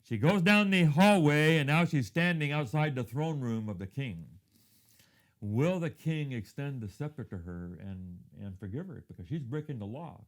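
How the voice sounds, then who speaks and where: Soft-spoken man in his fifties telling a story. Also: mid-range voice, animated, moderate pace. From Thailand. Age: 50s. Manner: reflective storytelling, often drops to a hushed tone. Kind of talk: devotional talk with personal story.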